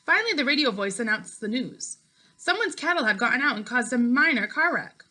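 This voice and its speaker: A woman with a bright, slightly high voice reads aloud as a teacher would for schoolchildren. Her delivery is clear and expressive, aimed straight at her young listeners. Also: a little fast, low-quality recording. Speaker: teacher reading a book aloud to fifth graders. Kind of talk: read-aloud storytelling for children.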